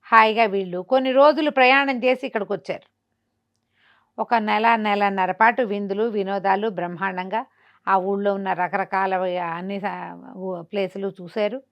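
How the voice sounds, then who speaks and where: A woman's voice: medium pitch, fairly even intonation, moderate pace. A woman with a Telugu accent telling a folk tale conversationally, expressively acting out the dialogue of its characters. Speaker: storyteller.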